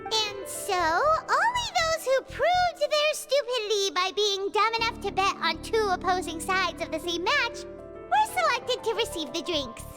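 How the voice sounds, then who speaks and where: A woman voicing a small, excitable, inquisitive childlike sprite companion in a video game. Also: very high voice, unhurried, over music, heavily acted. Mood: explanatory, smug. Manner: emphatic, childlike.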